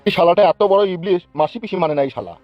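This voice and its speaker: Casting: male actor as blustering, threatening politician for comic cartoon, highish pitch, very fast, dry lo-fi sound. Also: lively intonation, lightly acted. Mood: angry, blustering. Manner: scolding, cursing, blustering. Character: angry foul-mouthed male village politician, bullying and threatening. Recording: dull lo-fi, music behind.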